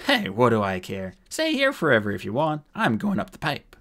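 A man's voice: medium pitch, wildly swooping pitch, normal speed, fairly bright tone. A man voicing a sulky, cheeky dwarf convict from an audiobook, with slangy, flippant wheedling. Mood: taunting.

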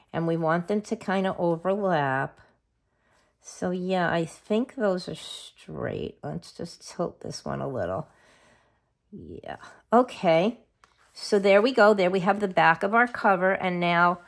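A middle-aged woman with a scratchy voice from a cold, chatting casually while she shows a craft project. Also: lowish voice. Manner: chatty, self-interrupting, forgets names of supplies. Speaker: craft video host.

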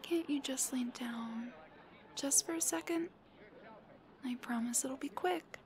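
Casting: woman to play young girlfriend for ASMR roleplay. High, breathy, unhurried, cutesy, clingy and teasing. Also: a little hiss or hum. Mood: pleading. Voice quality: soft, breathy, often near a whisper.

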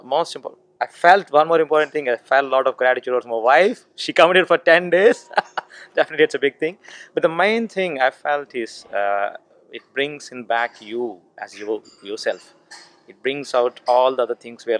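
A man in his forties speaks smiling and heartfelt to a close camera outdoors. His voice sits at a middle pitch. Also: poor audio. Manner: warm, smiling, laughs as he speaks. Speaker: retreat participant. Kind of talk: short testimonial. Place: outdoors by buildings on a sunny day. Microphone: camera at close range.

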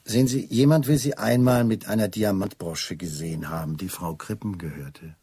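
An actor playing a polite, probing London police inspector who questions with murmured, hesitant insistence in a radio play. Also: male voice, lowish voice, normal speed, dark tone, slight background noise, lightly acted. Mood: quietly insistent. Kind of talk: German radio drama dialogue.

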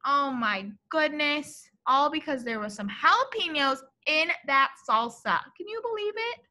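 High, bright young woman reading to children. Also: unhurried. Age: young adult. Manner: expressive, exaggerated, questioning and addressing young listeners. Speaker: teacher reading a picture book to children. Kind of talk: animated children's story read-aloud. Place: classroom, indoors.

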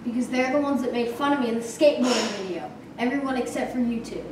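A high young voice of a girl playing a bullied kid turned quiet, menacing killer in a student thriller. She sounds far from the camera in an echoey empty warehouse, with music playing over her. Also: quick pace, very noisy, lightly acted. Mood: cold. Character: bullied girl who turns out a revenge killer. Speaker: young actor in a student film.

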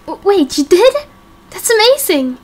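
A worried, caring female companion, acted by a woman in a game roleplay. She speaks in a high, emotional voice with an anxious manner. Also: big pitch swings, unhurried, darkish tone, heavily acted. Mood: relieved, worried.

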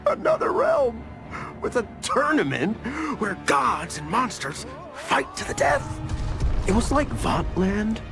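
An American actor playing a narcissistic, petulant superhero with menace in a video game, sarcastic and theatrical. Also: male voice, sits high, huge pitch swings, slow pace, gravelly, over music, exaggerated voice. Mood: gleeful, menacing. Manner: sarcastic, theatrical, self-pitying. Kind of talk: scripted video game ending monologue.

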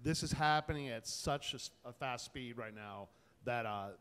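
A man's voice: mid-range voice, moderate pace, a bit bright, clear, resonant tone. A man talking casually and enthusiastically as a panelist, in an informal, colloquial way. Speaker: panelist, tech content creator. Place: indoor event space before a small audience. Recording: reverberant room, panel event.

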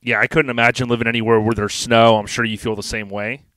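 A man hosting a casual podcast, talking in a relaxed, chatty, friendly way. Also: medium pitch, quick pace, dry recording, studio quality. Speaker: podcast host.

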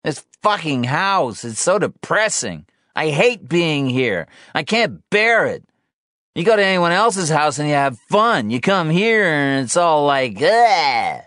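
A man's voice: on the high side, big pitch swings, slow, fairly bright tone, no room sound, studio quality, pitched above the performer's own voice, heavily acted. Man voicing a sullen, whiny drunk teenage boy. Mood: whiny, bitter. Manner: whiny, self-pitying. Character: sullen, drunk teenage son, whining and defiant. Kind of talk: audiobook character dialogue.

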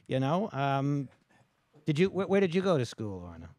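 A man's voice: medium pitch, big pitch swings, normal speed, a little mellow. A man in his fifties chatting casually as a podcast co-host, dry and hesitant, often correcting himself, on a close studio mic. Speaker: podcast co-host, stand-up comedian. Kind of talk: casual chat. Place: small indoor podcast studio. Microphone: close studio mic on arm with headphones.

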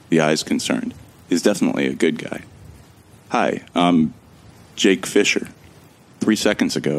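A man narrating a sci-fi audio drama in the first person, in a wry, joking and casual way. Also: a bass voice. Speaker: narrator and protagonist.

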